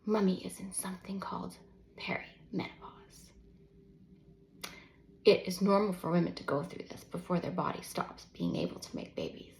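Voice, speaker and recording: A woman voicing a gentle but overwhelmed mother in a storybook, patiently and tenderly explaining things to young children with soft emphasis. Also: on the low side, big pitch swings, normal speed, gravelly, in a whisper. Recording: roomy, echoing sound.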